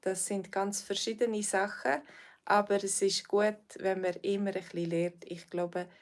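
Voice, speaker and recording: A woman's voice: mid-range voice, fairly even intonation, measured pace. A Swiss woman with a Swiss accent, a language teacher answering viewers' questions on a livestream, friendly and hesitant, with frequent fillers. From Switzerland.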